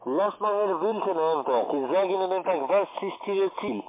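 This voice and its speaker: A man's voice: high-pitched, lively intonation. Man on a tinny phone line. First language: not German. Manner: curt, insistent, in halting non-native phrasing. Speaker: call-centre agent. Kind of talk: phone sales call, argumentative. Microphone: telephone.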